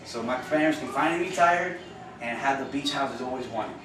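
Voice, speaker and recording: A man's voice: mid-range voice, a little fast. A young man from Cuba giving an earnest, informal classroom speech as a college student, often repeating and restarting phrases. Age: young adult. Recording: echoey room from far off with noise.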